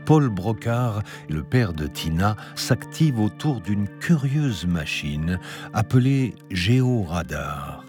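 A man's voice: on the low side, darkish tone. A man reading scripted narration for an audio drama.

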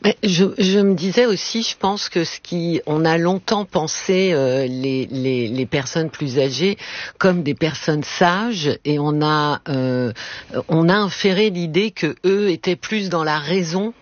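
A woman's voice: low voice, measured pace, no room sound, highs cut off. A woman speaking as a therapist on a panel, thoughtful and hesitant, with many fillers and restarts. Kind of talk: discussion on a radio panel.

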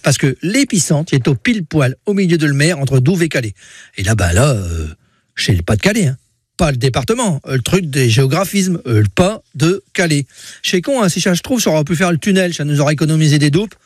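A man's voice: on the high side, expressive, fairly quick, husky, no echo, studio quality. A man doing a folksy, mocking humor column on morning radio. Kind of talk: joking regional chronicle.

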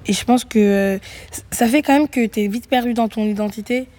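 A young woman who grew up in Greece, a musician guest answering interview questions thoughtfully, with many pauses and restarts. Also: on the high side, mellow. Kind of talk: reflective radio interview answers.

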